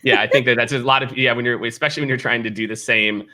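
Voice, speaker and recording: A man's voice: medium pitch, steady tone, very fast, bright, forceful tone. An American man hosting a casual livestream chat, talking informally and repeating phrases for emphasis. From Kansas City, United States. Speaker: host.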